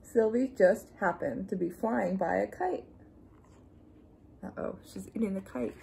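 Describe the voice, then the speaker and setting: A young adult woman reading a picture book aloud to young children, expressive and deliberate, with playful asides. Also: mid-range voice, vocal fry, slight background noise. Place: small room indoors.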